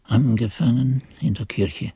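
An actor playing a monk who narrates his youth in a radio drama, in a literary, deliberate and confessional way. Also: mid-range voice, dark tone, slightly rough, no room sound. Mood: reflective, confessional. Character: monk recalling his youthful novice days, confessional, fervent. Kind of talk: scripted first-person narration in a radio drama.

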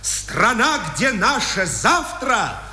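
Fiery earnest speechmaker, 50s radio play, high slow declaiming, echoey. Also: male voice, big pitch swings, pushed and bright, full character voice. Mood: earnest, stirring. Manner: declamatory, deliberate pauses. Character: impassioned, earnest male journalist giving public speeches. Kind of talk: 1950s radio drama oratory. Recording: echoey old radio hall recording.

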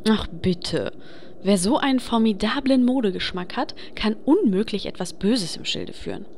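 A woman's voice: mid-range voice, expressive, moderate pace, a little mellow, a little hiss or hum, lightly acted. A woman acting a chatty, vain, organizing adventurer in a comedic fantasy game audio drama. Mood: defensive.

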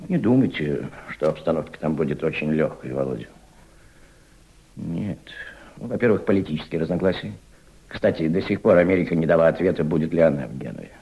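An actor in a Russian radio drama playing a grave, worried senior Soviet statesman who speaks to a colleague in a deliberate, paternal, emphatic way. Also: a bass voice, expressive, creaky, warm tone, slightly rough, exaggerated voice. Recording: dull, lo-fi old radio play recording.

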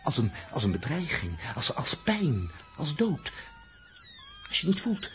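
An actor playing an excitable, irritable spaceship crewman with fervent, emphatic delivery in a 1960s Dutch radio drama. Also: fairly high pitch, measured pace, faint music behind, full character voice. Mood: fervent, haunted. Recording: old 1960s radio recording.

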